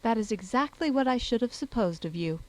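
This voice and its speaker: A woman's voice: a little high, animated, brisk, darkish tone, lightly acted, poor audio. Woman playing witty young lady. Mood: playful, confident. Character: witty, playful, self-assured young lady.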